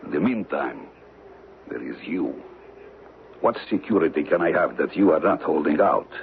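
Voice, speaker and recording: Very deep, gravelly, silky villain. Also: male voice, animated, exaggerated voice. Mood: cold suspicion. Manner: formal, deliberate, condescending menace. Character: menacing male villain, a silky cold-blooded killer with umbrella. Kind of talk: old-time radio drama acting. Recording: tinny, noisy old radio broadcast.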